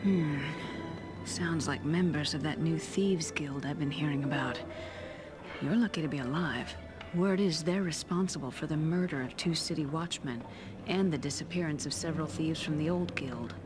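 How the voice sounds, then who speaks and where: A woman playing a friendly, concerned tavern keeper in a fantasy video game, confiding and unhurried. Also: sits low, over music, lightly acted.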